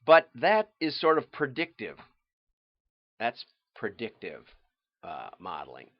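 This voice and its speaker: A man hosting a livestream, explaining things informally in a halting, think-aloud way with restarts and asides. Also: mid-range voice, huge pitch swings, no room sound, lo-fi sound. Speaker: livestream host and marketing consultant.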